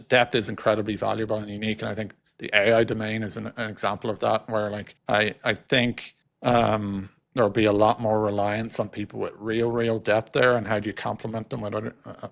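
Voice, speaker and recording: Male interview guest, middle-aged tech executive. Thoughtful, halting delivery, narrow intonation, vocal fry. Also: fairly low pitch. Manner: hesitant, halting, frequently repeating words. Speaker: podcast guest, technology executive.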